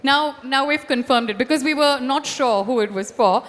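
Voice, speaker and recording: A woman's voice: high voice, moderate pace, noisy background. A woman hosting a promotional interview, effusive and articulate. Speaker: event host, interviewer. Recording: reverberant hall sound.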